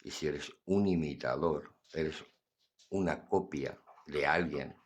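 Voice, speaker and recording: Older guy, super deep rough voice, blunt preachy podcast rant. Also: slow. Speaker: podcast host. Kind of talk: casual philosophical monologue.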